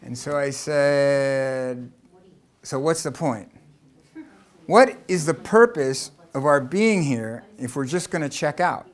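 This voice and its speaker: A man's voice: mid-range voice, takes it slowly. An American man from Los Angeles giving a conversational lecture to an audience, explaining with rhetorical questions. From Los Angeles, United States. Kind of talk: presentation talk to an audience.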